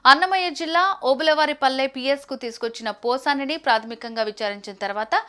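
A woman's voice: sits high, a little fast, sharp tone, clean tone. A woman news presenter reading a scripted report in a formal news narration style.

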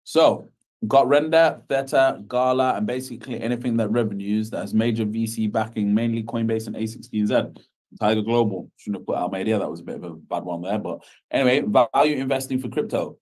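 A man's voice: fairly low pitch, a little fast, a little mellow. A man speaking casually on a video call as a presenter, posing questions and answering them himself. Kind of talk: casual informal commentary.

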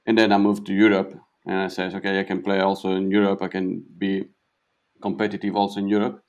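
A young Italian man with a slight South African tinge, a pro golfer answering interview questions in halting English that repeats words. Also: sits low, monotone, muffled recording. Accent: Italian, with a touch of South African. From Italy.

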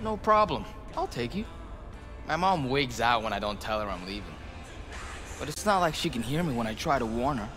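A man's voice: medium pitch, very animated, moderate pace, close to an everyday voice. A man playing an eager but self-doubting boy learning guitar, talking casually and a little hesitantly in a video game. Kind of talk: naturalistic video game acting.

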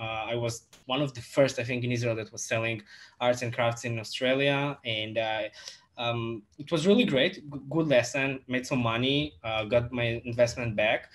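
Young male guest, halting delivery with restarts, desk mic in a home office. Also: mid-range voice, steady tone. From Moldova. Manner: halting, many fillers and restarts. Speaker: guest, e-commerce entrepreneur. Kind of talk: casual video-call interview.

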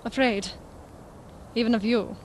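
Radio drama: woman acting sorrowful postwar Czech woman, guarded, slightly high voice. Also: fairly even intonation, a little slow, a little mellow, a little hiss or hum, lightly acted. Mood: anxious.

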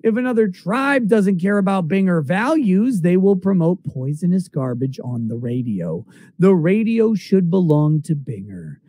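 A man's voice: high voice, very animated, a little slow, warm tone, no echo. A middle-aged man reading a text aloud in a declamatory, emphatic, dramatic way.

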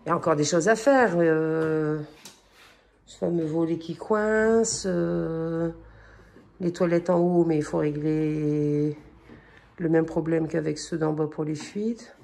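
A woman's voice: deep voice, steady tone, takes it slowly, creaky, a little mellow. A middle-aged woman giving a casual, chatty house tour in an informal way, talking as she moves from room to room. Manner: informal, chatty, talks to her pet in passing. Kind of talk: casual home-tour chat to camera. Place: indoors, walking through house rooms.

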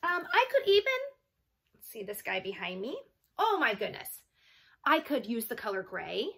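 Female children's read-along teacher, thirties, bright tone, swooping sing-song delivery, engages listeners directly. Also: a little high, normal speed, creaky, slightly rough. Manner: animated, sing-song, asking the listeners questions. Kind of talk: expressive read-aloud to young children with chatty asides. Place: small child's bedroom, indoors.

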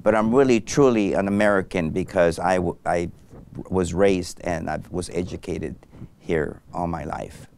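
A man's voice: sits low, moderate pace, gravelly, a little mellow, a little hiss or hum. A middle-aged man from Mexico, a doctor guest in an interview, speaking thoughtfully with many fillers and restarts on a lapel mic in a studio set. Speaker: anesthesiologist guest. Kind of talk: interview conversation.

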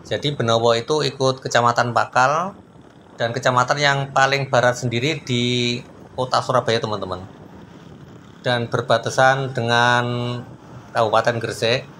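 A man's voice: medium pitch, steady tone, moderate pace, pauses often, pushed and bright. Chatty man narrating from a moving motorbike. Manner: chatty, keeps addressing friends, frequent fillers. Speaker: motovlog host. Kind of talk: casual running commentary while riding. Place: on a motorbike in city traffic outdoors. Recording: road traffic noise behind. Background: engine and traffic noise.

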